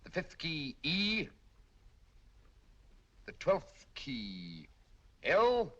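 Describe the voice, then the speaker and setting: An actor playing a sharp, commanding detective in a 1940s film, speaking with crisp precision. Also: male voice, fairly high pitch, wildly swooping pitch, very slow, heavily acted. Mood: keen. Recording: dull lo-fi old film soundtrack.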